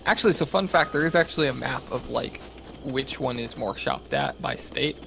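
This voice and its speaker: A man's voice: mid-range voice, huge pitch swings, moderate pace, creaky, noisy background. An American man reading livestream chat aloud and chatting casually, with dry joking asides.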